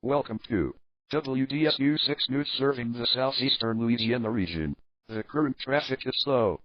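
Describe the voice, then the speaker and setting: A man reading a short scripted local news bulletin as its announcer. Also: mid-range voice. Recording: muffled, very noisy broadcast audio.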